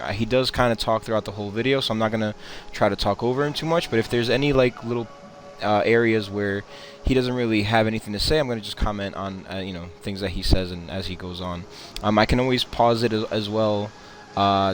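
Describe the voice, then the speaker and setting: Rambling low-voiced gaming host. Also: male voice, fairly bright tone, slight background noise. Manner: rambling, filler-heavy, repeats himself. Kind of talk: casual reaction commentary.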